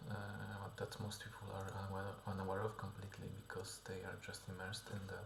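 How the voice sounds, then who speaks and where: A man's voice: low voice, monotone, fast talker, vocal fry, reverberant, noisy background. A man talking through a casual video diary, hesitant and full of fillers and restarts, often dropping to a half-whisper. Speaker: vlogger and musician.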